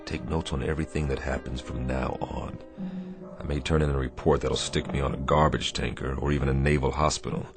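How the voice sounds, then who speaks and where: Sardonic navy captain, extremely low voice, commanding, weary, radio play, faint music behind. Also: male voice, flat delivery, fairly quick. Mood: stern, sardonic. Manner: commanding, dry sarcasm. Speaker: actor in a radio drama. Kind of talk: radio drama acting.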